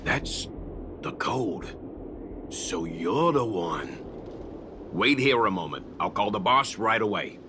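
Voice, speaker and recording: A man's voice: on the low side, very animated, takes it slowly, pauses often, noisy background. A man voicing a curt, impatient gang henchman in a game cutscene. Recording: game audio over music.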